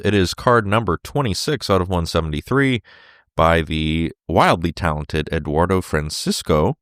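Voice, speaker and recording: A man hosting his solo podcast while sick, with a sore throat and a fading voice, talking casually with many fillers and throat clears. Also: low-pitched, no echo, clean studio sound. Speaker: podcast host.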